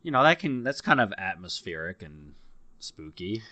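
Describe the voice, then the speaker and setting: A man's voice: low voice, sing-song, normal speed, bright, forceful tone, no echo, muffled recording. A man co-hosting a podcast, chatting casually and informally in a somewhat tentative way. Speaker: podcast co-host. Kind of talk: casual chat about games.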